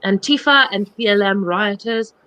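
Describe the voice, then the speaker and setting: A woman's voice: medium pitch, moderate pace, sharp tone, clean tone, dull audio. A woman reading on a charity livestream, moving between dramatic book reading and casual asides in a wry, mocking manner.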